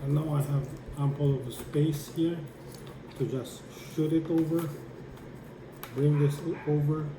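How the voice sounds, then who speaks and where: A man giving a hands-on how-to demonstration, explaining step by step in a halting way as he works. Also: fairly high pitch, steady tone. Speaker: tutorial presenter. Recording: echoey room with clear background noise.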